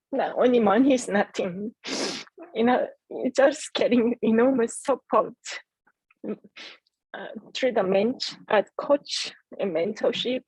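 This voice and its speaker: A woman whose first language is Korean, speaking heartfelt and halting on a video call about her business. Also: fairly high pitch, a little mellow, breathy edge, no room sound, rough recording. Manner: heartfelt, halting, earnest encouragement. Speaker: entrepreneur sharing her story. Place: home in Adelaide.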